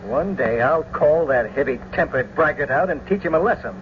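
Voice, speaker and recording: An actor playing a cocky, witty young gentleman with jaunty, flourishing speech in a classical radio drama. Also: fairly high pitch, animated, normal speed, close to an everyday voice. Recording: muffled, faintly hissy old radio broadcast.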